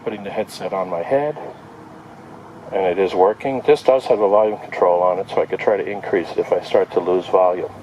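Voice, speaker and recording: A man with a fairly low, very mellow voice talks casually through a hands-on product test. He thinks aloud in short, step-by-step remarks. The recording is made outdoors, with faint noise behind him. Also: a little fast, highs cut off. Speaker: product reviewer. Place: outdoor backyard.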